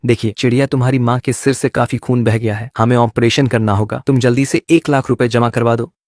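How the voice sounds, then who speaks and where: A man's voice: mid-range voice, steady tone, a little fast, a little mellow, no echo, clean studio sound, natural voice. A man playing a businesslike doctor in a children's bird cartoon, matter-of-fact and urgent. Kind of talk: Hindi kids' cartoon voice acting.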